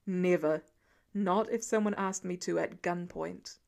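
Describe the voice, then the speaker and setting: A woman voicing a weary, gentle middle-aged male archer with an earnest, reassuring, plain-spoken manner in an audiobook story. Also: on the low side, measured pace, creaky, pitched below the performer's own voice. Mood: tender, firm.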